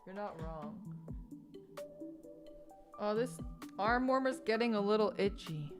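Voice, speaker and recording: A woman's voice: medium pitch, animated, takes it slowly, faint music behind. Giggly rambling woman streamer. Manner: rambling, giggly, lists things with many ums. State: stuffed up after a missed sneeze. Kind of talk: casual stream chat while building a model kit. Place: home gaming room with sound panels.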